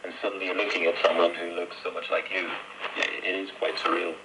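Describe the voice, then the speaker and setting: A man's voice: low-pitched, little pitch movement, fast talker. A middle-aged man telling his personal story as a guest in a radio interview, heard over shortwave radio. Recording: very noisy, crackly shortwave radio reception.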